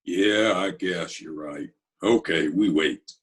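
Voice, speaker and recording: A man playing a laconic, scheming Broadway tough guy in an old-time radio drama, with a husky voice and terse, deliberate delivery. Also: low-pitched, fairly bright tone, soft background music, heavily acted. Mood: terse, probing. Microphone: video call recording.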